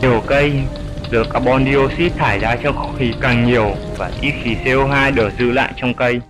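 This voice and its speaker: A man voicing an old grandfather flying fox in an educational cartoon, explaining things in a measured, didactic way. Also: medium pitch, steady tone, music in the background, close to an everyday voice. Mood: instructive.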